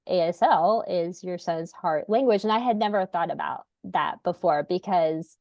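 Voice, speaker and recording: A woman's voice: fairly low pitch, moderate pace, vocal fry, dry recording, muffled recording. A woman hosting a podcast, talking in an earnest, rambling way with many fillers. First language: English. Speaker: podcast host, parent. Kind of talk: casual podcast conversation.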